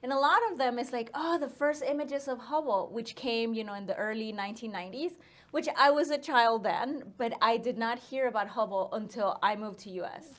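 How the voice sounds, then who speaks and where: A woman's voice: on the high side, a little fast, fairly bright tone. A woman in her thirties from northern Mexico, with a Mexican accent, talking casually as an interview guest with frequent fillers. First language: Spanish. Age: 30s. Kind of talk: casual conversational storytelling.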